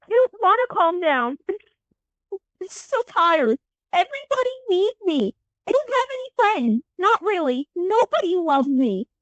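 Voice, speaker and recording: Lady doing a kid hero boy, really high voice, emotional, dry slightly dull recording. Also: sing-song, a little slow, no echo, full character voice. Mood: hurt, upset. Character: young teen boy hero, earnest and aggrieved. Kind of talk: children's fantasy audio drama acting.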